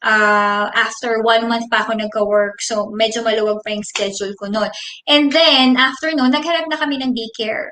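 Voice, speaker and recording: A Filipino woman in her thirties answers viewers' questions on a live stream, reading their comments and explaining at length. Her voice sits high and sounds bright and clear. The room around her is echoey. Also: fairly even intonation, brisk. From Philippines. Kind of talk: casual live Q&A, switching between English and Tagalog.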